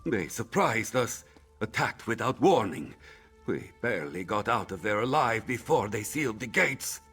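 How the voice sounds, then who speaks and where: A man's voice: fairly low pitch, big pitch swings, moderate pace, a bit bright, breathy edge, faint music behind, exaggerated voice. A man playing a frightened soldier in a fantasy video game, reporting in an urgent, dramatic way. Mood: shaken.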